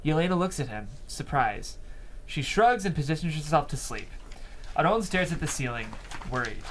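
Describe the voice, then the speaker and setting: A man's voice: on the high side, expressive, normal speed, a little hiss or hum, low-quality recording. A man reading scene headings and stage directions as narrator of a script table read.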